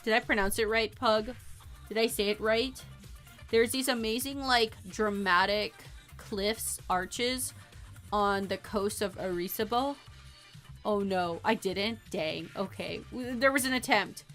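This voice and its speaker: Bubbly, rambling streamer woman with a bright voice. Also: mid-range voice, a little slow, faint music behind. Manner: rambling and bubbly, repeats herself, frequent giggles. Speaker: livestreamer. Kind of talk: casual chat with stream viewers while drawing.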